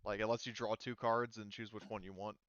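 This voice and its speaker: A man's voice: lowish voice, little pitch movement, fairly quick, dull audio, over a noisy crowd. A man acting as game master, casually explaining tabletop game rules to players.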